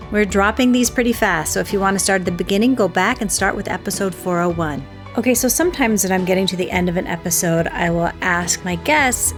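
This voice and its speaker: A woman hosting a podcast, speaking in a conversational presenter style. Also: medium pitch. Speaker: podcast host. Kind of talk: host narration, ad reads and conversational interviewing.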